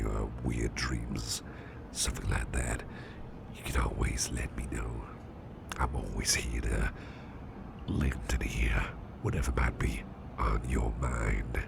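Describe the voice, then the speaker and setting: A man playing a caring, gruff businessman boss in a soft, half-whispered, intimate ASMR roleplay. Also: exaggerated voice. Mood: tender, reassuring. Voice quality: gravelly, breathy low murmur. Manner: slow, hesitant, intimate, soothing. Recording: clearly audible background noise.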